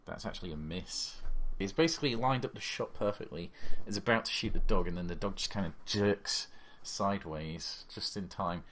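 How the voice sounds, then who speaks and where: A man's voice: sits low, normal speed, a bit bright, slight background noise. A man running a tabletop horror game as game master, describing the action blow by blow with sound effects, on a voice call.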